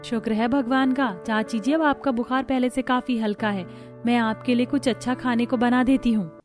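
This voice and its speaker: A woman's voice: a little high, fairly even intonation, normal speed, darkish tone, soft background music, close to an everyday voice. A woman voicing a caring, respectful young female cousin in a cartoon story, with a soothing, eager manner. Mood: relieved. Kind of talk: Hindi cartoon story acting.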